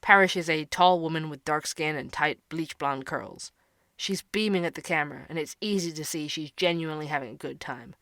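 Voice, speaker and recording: An actor playing a young barista who hosts a podcast, narrating in an earnest, wry way. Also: male voice, on the high side, a little fast, sharp tone, no echo, studio quality.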